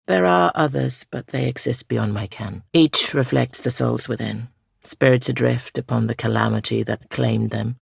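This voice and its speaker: Casting: ancient female ghost guardian for a fantasy game. Soft, heavily creaky, solemn and weary, formal diction, very close dry sound. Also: a bass voice, no room sound, heavily acted. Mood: grave, foreboding. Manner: solemn, measured, archaic formal phrasing. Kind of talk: video game character dialogue.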